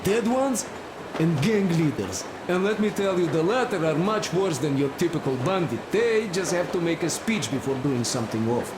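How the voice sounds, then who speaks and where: An actor playing a plain-spoken, loyal, wary wanderer in a game, with a rambling, halting, colloquial way of talking. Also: male voice, on the high side, sing-song. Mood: opinionated, chatty. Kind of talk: game cutscene dialogue. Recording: noisy, echoey, vehicle-like ambience behind.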